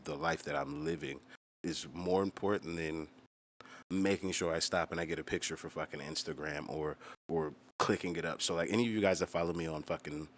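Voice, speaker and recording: A man's voice: a bit bright, a little hiss or hum. A young man chatting casually as a musician explaining his own lyrics, slangy, emphatic and full of profanity. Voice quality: low-pitched.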